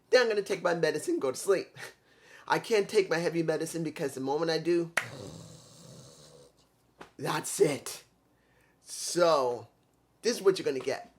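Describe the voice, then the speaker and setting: A congested man giving a casual, blunt, opinionated solo review of a wrestling show, rambling with restarts. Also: on the high side.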